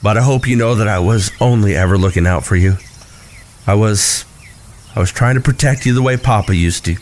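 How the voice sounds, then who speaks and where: A man's voice: lowish voice, a little fast, darkish tone, slight background noise, lightly acted. An actor playing a bitter, grieving young man in a western audio drama, emotional, articulate and cutting. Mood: remorseful.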